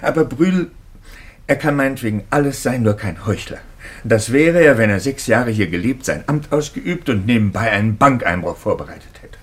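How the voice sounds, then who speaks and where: A man's voice: mid-range voice, animated, moderate pace, fairly bright tone, husky. An actor playing a loyal, earnest clergyman in a 1950s radio play, formal and emphatic in manner. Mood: impassioned. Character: loyal, earnest male clergyman, firm in defending a colleague.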